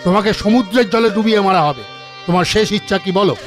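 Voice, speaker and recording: A man's voice: high-pitched, fast, soft background music, lightly acted. A man playing an imperious, punitive king in a fairy-tale cartoon, with blustering, declamatory delivery. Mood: angry, commanding. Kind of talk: Bengali cartoon folk tale acting.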